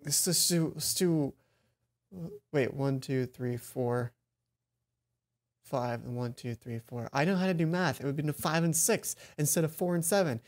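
A man's voice: fairly high pitch, a little slow. A young man hosting a let's play, reading visual novel narration aloud and adding casual commentary. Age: young adult. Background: faint game music.

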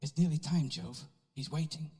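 A solemn man voiced by an actor gently urging in an audio drama. His voice is slightly high and rings in a long reverb. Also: normal speed, natural voice. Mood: solemn, coaxing. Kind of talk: dramatic audio adventure acting. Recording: long reverberant hall echo.

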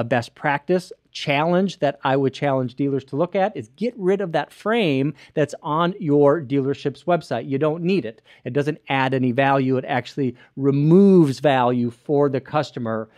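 A man's voice: medium pitch, unhurried, darkish tone, no echo. Man hosting, dry close mic. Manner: gestures a lot, many fillers and restarts. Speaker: host. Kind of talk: explanatory web show talk. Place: small studio with screen behind. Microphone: boom-arm podcast mic close to mouth.

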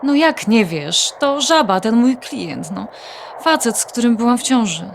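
High-voiced, exasperated grown daughter. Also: female voice, expressive, measured pace, vocal fry, a little hiss or hum. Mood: distressed, confiding. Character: Agnieszka, worried adult daughter confiding her troubles, wry and exasperated. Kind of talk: naturalistic Polish audio drama dialogue.

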